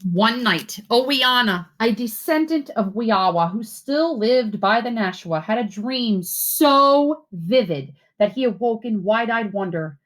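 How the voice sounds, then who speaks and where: A woman's voice: a little high, expressive, a little slow, few pauses, a bit bright, rough recording. Woman teacher reading a story aloud. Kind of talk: read-aloud storytelling and simple instructions for children.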